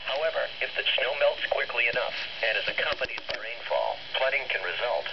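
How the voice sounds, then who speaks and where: A man's voice: mid-range voice, very animated, a little fast, gravelly. A man with a formal, synthetic-sounding delivery reading scripted public information as a weather radio announcer. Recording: noisy, muffled weather radio broadcast.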